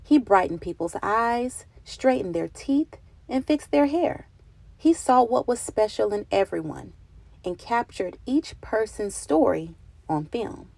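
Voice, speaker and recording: A woman reading a picture book aloud for children, with expressive, deliberate phrasing and dramatic emphasis. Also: fairly high pitch, gravelly, no echo. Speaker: children's read-aloud narrator. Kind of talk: expressive storybook narration for children.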